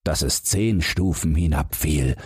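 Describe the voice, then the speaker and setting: A man's voice: a bass voice, a little slow, a little mellow, slightly rough, no echo, studio quality. A man reading a scripted fairy-tale audiobook narration for bedtime. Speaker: audiobook reader.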